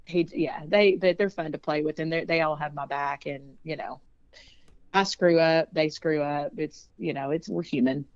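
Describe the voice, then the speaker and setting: A woman's voice: deep voice, steady tone, moderate pace, dry recording, dull audio. A woman, a singer chatting casually in an interview, rambling and laughing often. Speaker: singer and band leader.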